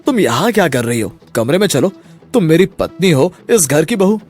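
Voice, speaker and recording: A man's voice: fairly high pitch, sing-song, moderate pace, a little mellow, faint music behind. A man voicing a selfish, domineering young drunkard husband in a dramatized moral story. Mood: wheedling.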